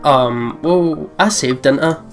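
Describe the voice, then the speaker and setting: A man's voice: fairly high pitch, takes it slowly, faint music behind. A man hosting a let's play, reading the story aloud expressively and commenting casually.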